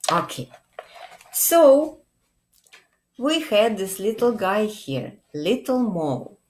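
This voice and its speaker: A woman in her forties, a children's librarian, telling a picture-book story to young children in a deliberate, expressive way with check-in questions. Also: medium pitch. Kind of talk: read-aloud storytime addressed to young children. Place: small indoor room, plain wall.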